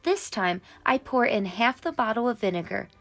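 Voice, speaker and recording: A young adult woman narrating a picture book for children in an animated, expressive and carefully enunciated way. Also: fairly high pitch, moderate pace, soft background music. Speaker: children's read-aloud storyteller.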